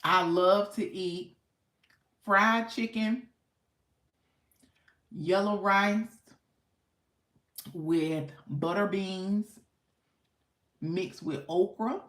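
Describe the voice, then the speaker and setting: A woman's voice: mid-range voice, expressive, very slow, pauses often. An American woman hosting a casual livestream, chatting with viewers in an effusive, folksy way with repeated thanks and affirmations. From United States. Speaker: livestream host. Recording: echoey, roomy sound.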